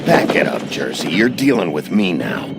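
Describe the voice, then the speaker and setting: A man voicing a gruff, brooding sheriff who speaks tersely and bluntly in a noir story game. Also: low voice, sing-song, heavy background noise, exaggerated voice. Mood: menacing. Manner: terse, deliberate, hard-boiled. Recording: loud music under the voice.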